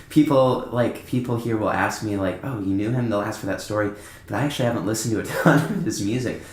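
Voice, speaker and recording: A man's voice: on the low side, brisk. A young man casually telling anecdotes as a podcast guest, in an informal, rambling way. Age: young adult. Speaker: podcast guest, band musician. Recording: echoey, roomy podcast recording.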